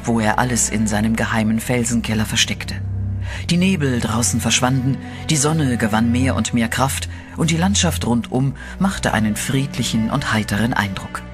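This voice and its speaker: A man narrating a German radio horror play in a steady, dramatic storytelling voice. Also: mid-range voice, moderate pace, music in the background.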